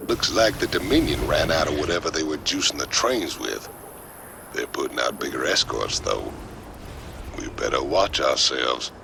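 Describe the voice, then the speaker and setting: Gruff burly outlaw, rock-bottom pitch, gravelly, cocky, rough informal talk, loud background noise, game dialogue. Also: male voice, expressive, normal speed, heavily acted. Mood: gruff, wary. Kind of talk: video game voice acting.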